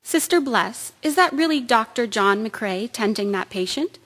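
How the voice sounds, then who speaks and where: A woman's voice: a little high, lively intonation, a little slow, a bit bright, long reverb tail. A woman playing an earnest, dutiful young wartime nursing sister in a radio drama, polite in manner.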